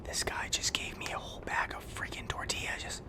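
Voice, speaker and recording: A man playing a friendly, awkward, down-on-his-luck barber on the run, rambling haltingly in an ASMR roleplay with a breathy whisper. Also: lightly acted. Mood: pleasantly surprised. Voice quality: breathy whisper with some fry.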